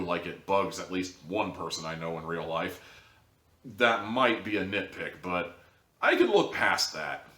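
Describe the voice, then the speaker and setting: Bright, emphatic man reviewing in a roomy space. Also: on the low side. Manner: emphatic, conversational, wry asides. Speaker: audio drama reviewer, show host. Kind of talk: casual, opinionated video review. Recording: roomy, distant microphone.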